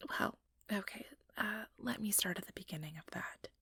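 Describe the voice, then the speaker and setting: A woman playing an ambitious, sugary, manipulative vampire in an ASMR roleplay, speaking in a breathy, whispery voice with coaxing, condescending sweetness. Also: mid-range voice, moderate pace, bright, forceful tone, no echo, studio quality, heavily acted. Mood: falsely sympathetic. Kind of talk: ASMR roleplay, soft whispered monologue to listener.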